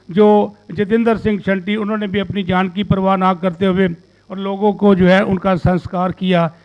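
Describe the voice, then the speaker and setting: A man's voice: high voice, lo-fi sound. A man in his sixties giving an earnest, rambling statement to the press and repeating phrases as he makes an impassioned appeal. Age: 60s. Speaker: social activist and businessman giving a media statement.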